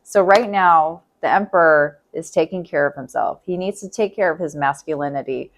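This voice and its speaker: A woman reading tarot in a casual, chatty way, thinking aloud and repeating herself, her voice going hoarse. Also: low voice, moderate pace, slight background noise. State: losing her voice. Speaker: tarot reader.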